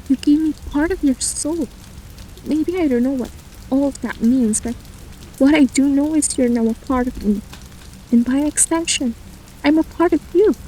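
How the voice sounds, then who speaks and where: A woman's voice: sits high, mellow, a little hiss or hum, low-quality recording. A woman playing an earnest, devoted and defiant young fantasy heroine in an audio drama, with a pleading manner. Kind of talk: audio drama character acting.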